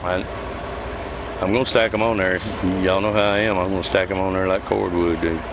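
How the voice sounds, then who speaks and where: A man's voice: low voice, level tone, fairly quick. A man gives casual gameplay commentary, thinking aloud in a folksy drawl as a gaming streamer. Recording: loud engine noise behind the voice. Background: idling truck engine game sound.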